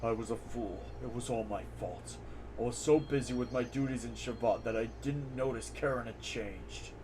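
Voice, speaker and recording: A man voicing a somber, weary, regretful man from a video game in a subdued, halting way. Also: mid-range voice, pitched below the performer's own voice, natural voice. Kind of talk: dramatic reading of video game dialogue. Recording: noticeable background noise.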